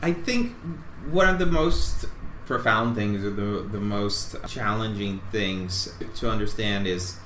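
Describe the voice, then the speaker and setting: A man speaking as an interview guest, explaining things thoughtfully in a conversational, halting way and repeating words as he goes. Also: lowish voice, a bit bright. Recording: echoey room with faint background noise.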